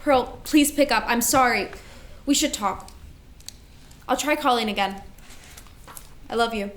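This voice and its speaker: A woman's voice: a little high, unhurried, fairly bright tone, slight background noise. A woman acting a stern, burdened older sister in a staged play reading. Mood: apologetic. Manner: stern, scolding.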